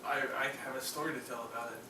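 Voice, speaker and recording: A man's voice: mid-range voice, steady tone, racing, crowd noise. A man co-hosting a film podcast in casual, conversational chat. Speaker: podcast co-host. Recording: echoey room, distant mic, background voices.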